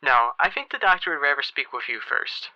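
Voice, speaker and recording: A man's voice: a little high, expressive, a little fast, pushed and bright, no room sound. A man playing a courteous, businesslike doctor in an old-time radio soap, heard as if over a phone call. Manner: polite, businesslike. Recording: dull, band-limited, like a phone call.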